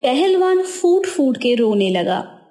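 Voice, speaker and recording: Slow expressive woman storyteller. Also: sits high. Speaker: narrator. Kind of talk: storybook narration.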